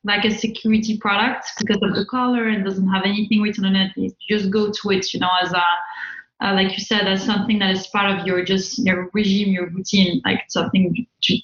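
A woman's voice: mid-range voice, little pitch movement, brisk, a bit bright. A woman hosting a podcast, chatting casually and effusively as she interviews and reviews products. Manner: effusive, informal. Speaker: podcast host. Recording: roomy, slightly dull sound.

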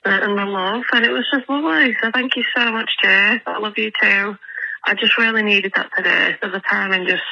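An English woman with a croaky voice leaving a heartfelt, rambling voicemail of thanks over a phone line. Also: medium pitch, bright, forceful tone. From England. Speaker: voicemail caller.

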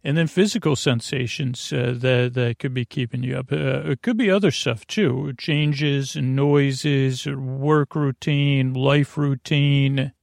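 A man hosting a sleep podcast with a meandering bedtime monologue in a creaky voice, hesitant and full of tangents and filler. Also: medium pitch, steady tone, slow pace, hardly any pauses, a little mellow, dry recording, clean studio sound. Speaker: podcast host. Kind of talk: rambling bedtime monologue to lull listeners to sleep.

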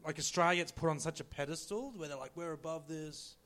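A man's voice: on the high side, fairly even intonation, brisk, a bit bright. A man co-hosting a comedic chat, rambling loosely with lots of filler words. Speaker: co-host.

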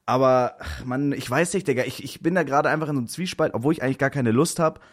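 A young man talks fast, joking crudely and swearing in easy banter before drifting into rambling reflection. His voice sits at a middling pitch. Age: 20s. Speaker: podcast co-host. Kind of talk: casual banter between friends.

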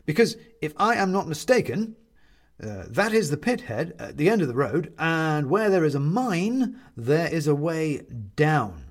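A man voicing a cheerful, absent-minded storybook gentleman, speaking in a grandiloquent, excitable and sometimes faltering way. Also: fairly high pitch, measured pace, voice pushed up. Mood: cheerful, confident. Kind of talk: storybook character reading.

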